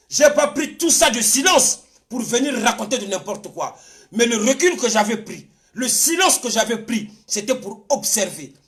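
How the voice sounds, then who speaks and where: A man's voice: very high-pitched, fairly bright tone. A man with a Congolese accent giving an impassioned political monologue, insistent and repetitive, switching between languages. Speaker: political commentator.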